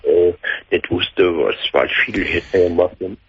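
A man in his forties with a Palatinate German accent, calling a late-night radio show, talking in a halting, dazed way full of restarts. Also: low-pitched, husky, no echo. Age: 40s. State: shaken, in shock. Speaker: caller. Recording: dull lo-fi phone-in audio.

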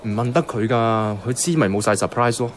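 A man's voice: mid-range voice, a little fast, close to an everyday voice. An actor playing a bashful, naive man with a crush in a Hong Kong Cantonese radio drama. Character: bashful man choosing a birthday cake, denying his crush.

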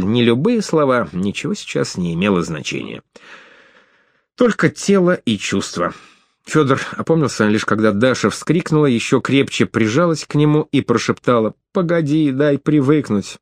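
A man narrating an audiobook in an expressive reading style. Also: lowish voice, no echo, studio quality. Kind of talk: scripted narration.

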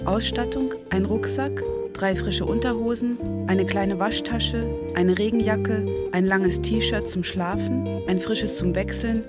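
A woman's voice: medium pitch, fairly even intonation, slow pace. A woman reading scripted, diary-like narration as the author of a documentary radio play. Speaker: author narrating her own experiment. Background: acoustic guitar music.

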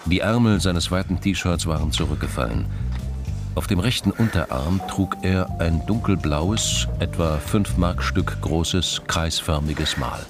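The dry, observant narrator of a radio crime drama. His voice sits very low and he tells the story in measured, terse phrases. Prominent music runs behind him.

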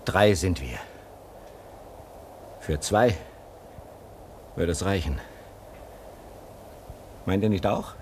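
A man's voice: deep voice, lively intonation, extremely slow, pauses often, darkish tone, slight background noise, full character voice. An actor playing a bitter, restless man at a polar station in a theatrical, sardonic German radio play. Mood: bitter, brooding. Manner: theatrical, sardonic, repeats words.

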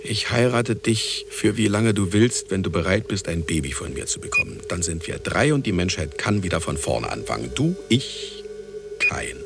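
An actor playing an aging, obsessive man in a German radio drama, gravelly, theatrical and insistent. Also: low-pitched, very animated, moderate pace, over music, exaggerated voice. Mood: pleading. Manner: theatrical, insistent, swinging between coaxing and brooding.

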